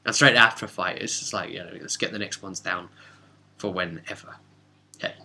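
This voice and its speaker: Bright, forceful low-voiced man storytelling. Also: normal speed, vocal fry. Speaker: game master recapping a session. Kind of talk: casual storytelling recap of a tabletop game.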